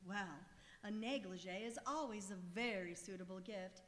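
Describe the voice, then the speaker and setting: A woman's voice: mid-range voice, moderate pace, fairly bright tone, natural voice. A woman playing a courteous, helpful lingerie saleswoman with polite sales patter in an old-time radio comedy. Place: live performance in a museum hall. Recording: reverberant hall, live stage recording.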